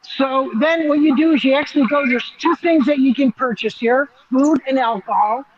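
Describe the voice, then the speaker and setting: A man's voice: very high voice, normal speed. A male roving reporter explains things in an enthusiastic, conversational way. His live feed sounds slightly dull and lo-fi.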